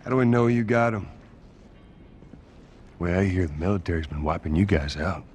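An actor playing a gruff, skeptical middle-aged smuggler with a Southern US accent in a video game, laconic and wry. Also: male voice, low-pitched, moderate pace, darkish tone, a little hiss or hum, natural voice. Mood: wry, wary. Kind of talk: dramatic video game acting.

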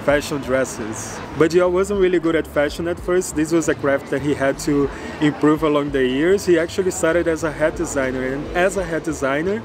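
A man's voice: on the high side, moderate pace. A man in his thirties, a native Portuguese speaker, explaining things enthusiastically in a casual travel vlog while filming himself at arm's length on a city street. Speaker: travel vlogger. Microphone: camera held at arm's length. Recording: clear outdoor street noise.

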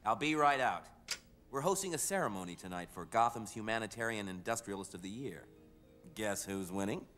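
Swaggering, animated smug corporate boss. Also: male voice, fairly low pitch, brisk, soft background music. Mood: self-satisfied. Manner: slick, self-important, breezy. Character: smug, callous, glad-handing male corporate boss. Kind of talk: animated series drama acting.

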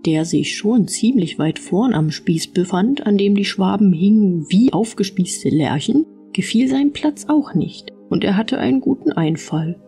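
A woman narrating an audiobook fairy tale in an expressive storytelling style. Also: mid-range voice, a little slow, few pauses, faint music behind.

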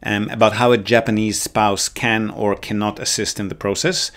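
A man hosting a podcast as a real estate consultant, explaining casually with frequent pauses and ums over a call. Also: fairly low pitch, level tone, fairly bright tone.

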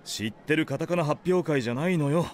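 A man acting a sensible high school team captain in an anime radio drama, dryly retorting and scolding. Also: brisk, slight background noise, lightly acted. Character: sensible, dry teenage male team captain.

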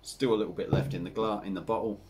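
Deep-voiced english guy reviewing a beer to camera at his home bar, chatty and rambling, slight background hum. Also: quick pace. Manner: chatty, rambling, relaxed, with frequent fillers. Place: home bar room with dartboard and signs.

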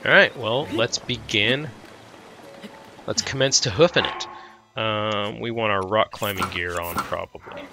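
A man's voice: fairly low pitch, unhurried, over music. A man with a lingering head cold giving casual, goofy, rambling gameplay commentary as if talking to himself. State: tail end of a head cold. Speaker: let's play host.

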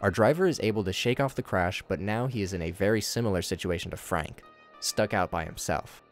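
A man's voice: deep voice, brisk, music in the background. A man giving a scripted narration that recaps horror footage in a casual, wry way. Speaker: narrator of a video commentary.

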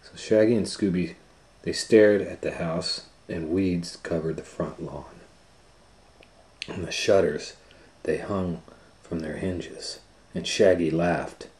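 A middle-aged man hosts a storytime in a low voice. He reads at a slow pace, informally and with casual asides. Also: steady tone, darkish tone, roomy sound, rough recording. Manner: unhurried, informal. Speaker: storytime host and reader. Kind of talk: casual children's story reading. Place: small plain room indoors.

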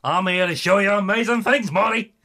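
An actor playing the loud, cranky ghost of an old comedian in a radio comedy, with a raspy, strained voice. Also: male voice, high voice, fairly quick. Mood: cranky, insistent. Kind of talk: radio comedy drama acting.

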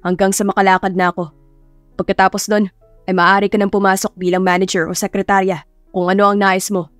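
A woman's voice: mid-range voice, level tone, fairly quick, dry recording, faint music behind, close to an everyday voice. A woman voicing a grumpy, commanding male CEO in a story, curt and stern, with a Filipino accent. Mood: serious.

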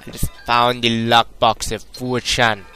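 A young man from Britain rambles through his game commentary with a bright, forward voice. He jokes constantly and leans on a put-on Jamaican lilt that keeps fading in and out. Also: mid-range voice, level tone, a little slow, clean tone, faint music behind. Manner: joking, rambling, puts on a mock Jamaican accent. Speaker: gameplay commentator. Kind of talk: casual let's-play commentary.

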